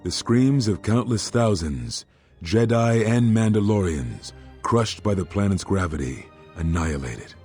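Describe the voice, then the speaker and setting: An actor playing a brooding, grave Jedi master in a video game, with a weighty, ominous delivery. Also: male voice, lowish voice, lively intonation, darkish tone, soft background music. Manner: slow-burning, ominous, weighty. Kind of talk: dramatic video game dialogue.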